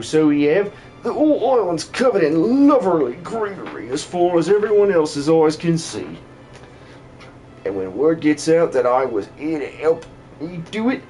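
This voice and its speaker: A man plays an excitable video game worm creature with a high, very lively voice. It gushes in folksy country turns of phrase. Faint music plays behind it. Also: unhurried. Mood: gushing, delighted.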